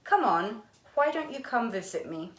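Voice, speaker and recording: A woman's voice: a little high, big pitch swings, normal speed, fairly bright tone, pitched above the performer's own voice. Woman reading as playful elderly artist, echoey room. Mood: jovial. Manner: emphatic, commanding yet kindly. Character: Picasso, boisterous laughing elderly man, playful artist. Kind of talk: storybook character voice.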